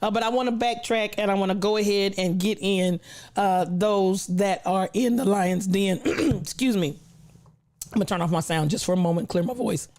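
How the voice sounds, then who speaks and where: A woman's voice: mid-range voice, normal speed, darkish tone. Effusive woman livestream host, recovering voice. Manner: effusive, affectionate, playful. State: recovering from a lost voice, not full voice. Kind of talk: casual livestream chat, greeting viewers by name.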